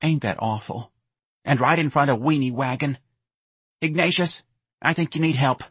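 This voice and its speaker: A man's voice: medium pitch, expressive, fairly quick, fairly bright tone, breathy edge, no echo, pitched above the performer's own voice. A man voicing a plaintive, nagging older working-class mother with folksy, whining speech in an audiobook. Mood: sad, worried.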